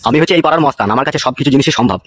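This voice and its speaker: Cartoon villain for a man to play: swaggering male local goon, fast delivery, leering and threatening. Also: medium pitch, no room sound, studio quality, close to an everyday voice. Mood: boastful. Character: lecherous, menacing male neighbourhood thug, boastful. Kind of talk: comedy cartoon villain dialogue.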